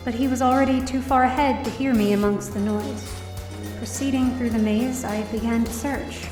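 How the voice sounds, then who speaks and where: A woman's voice: fairly high pitch, a little mellow, roomy sound, recorded from a distance, lightly acted. A woman playing a down-on-her-luck, distressed young woman narrating her own story in an audio drama. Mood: hesitant, curious. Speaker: lead actor in audio drama. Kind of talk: first-person dramatic narration.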